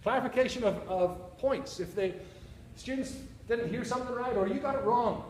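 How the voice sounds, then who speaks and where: A man's voice: very high voice, normal speed, a little hiss or hum. A man with a Northern Irish accent giving a conference talk to teachers, joking and often checking in with "all right?" and "okay?". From Northern Ireland. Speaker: presenter. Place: conference room. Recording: echoey room, faint ambience.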